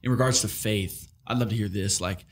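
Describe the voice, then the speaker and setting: A man's voice: on the low side, a little fast. A man hosting a podcast, asking rambling, eager questions packed with "like" and restarts. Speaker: podcast host. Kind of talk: casual interview talk.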